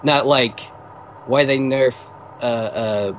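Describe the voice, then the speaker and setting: A man's voice: medium pitch, slow, slight background noise. Rambling joking man on a muffled call. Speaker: guest co-commentator. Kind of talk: casual chat.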